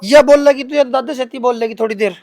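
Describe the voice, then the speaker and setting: High-voiced Haryanvi man, emphatic talker. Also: fairly even intonation, quick pace, darkish tone. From Haryana, India. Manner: emphatic, repetitive, colloquial. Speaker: interviewee answering accusations against him. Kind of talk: unscripted interview, defending himself.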